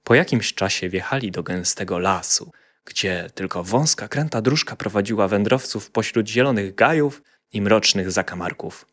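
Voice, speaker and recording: A man's voice: on the low side, animated, moderate pace, fairly bright tone. A man narrating a scripted children's fairy tale as a storyteller.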